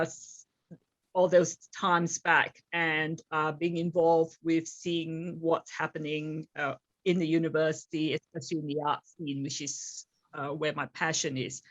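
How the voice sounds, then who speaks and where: Female judge, middle-aged, lowish voice, hesitant self-introduction, close dry recording. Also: dry recording. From Singapore and England. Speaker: competition judge.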